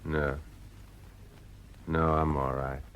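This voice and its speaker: An actor playing a defeated, remorseful industrialist in an audio drama, emotionally drained. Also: male voice, extremely low pitch, little pitch movement, drawn-out words, fairly bright tone, slight background noise, heavily acted. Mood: drained, confessional.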